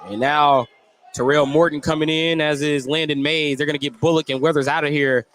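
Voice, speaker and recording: Higher-voiced male basketball play-by-play man. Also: fairly even intonation, brisk, a bit bright. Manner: detailed, descriptive running narration. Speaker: play-by-play sports commentator. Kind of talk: live basketball radio play-by-play. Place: courtside broadcast spot in a school gym. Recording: live gym broadcast with background noise.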